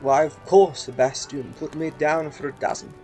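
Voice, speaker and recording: A man plays an older Victorian gentleman for radio drama. He is effusive and theatrical, pitched a little high, with music underneath. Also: fairly even intonation, normal speed, lightly acted. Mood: jovial, generous. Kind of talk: theatrical radio play acting.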